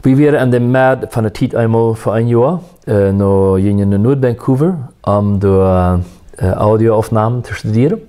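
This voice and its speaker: Quiet reflective musician guy, kinda low and warm, talking about faith and his past. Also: moderate pace. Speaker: musician guest. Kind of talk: telling his life story.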